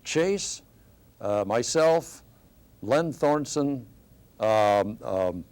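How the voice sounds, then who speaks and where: A man's voice: medium pitch, lively intonation, extremely slow, a little mellow, clean tone. Older American man reminiscing. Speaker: veteran radio broadcaster. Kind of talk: panel reminiscence, casual storytelling.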